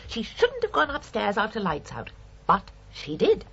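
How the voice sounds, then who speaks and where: A woman's voice: mid-range voice, huge pitch swings, normal speed, vocal fry, fairly bright tone, breathy edge, exaggerated voice. A woman playing a fussy, house-proud murderous landlady in a radio crime drama, with a British accent and a prim, chillingly matter-of-fact, indignant manner. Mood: prim, aggrieved. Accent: British English. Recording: dull radio recording with faint hiss.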